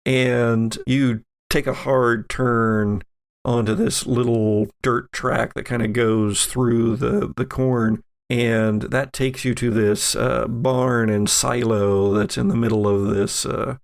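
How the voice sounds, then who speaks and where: Gravelly man narrating as game master. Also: medium pitch, level tone, takes it slowly. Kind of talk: improvised tabletop game narration.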